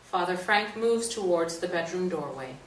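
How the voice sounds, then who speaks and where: A woman's voice: lowish voice, animated, normal speed. A woman reading scripted stage directions as the narrator of a play reading. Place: echoey indoor stage or hall. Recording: echoey room, distant mic, faint noise.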